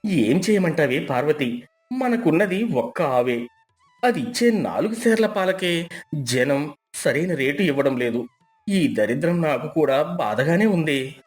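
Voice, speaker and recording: A man's voice: on the high side, lively intonation, a little slow, faint music behind. A man playing a meek, honest, poor village milkman who pleads and protests in a moral story. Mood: sorrowful.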